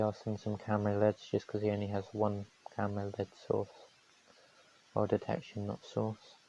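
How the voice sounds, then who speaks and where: A man calmly commentating his own strategy game on a live stream, his voice going hoarse, from New Zealand. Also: lowish voice, lo-fi sound, a little hiss or hum. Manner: calm, matter-of-fact strategy talk.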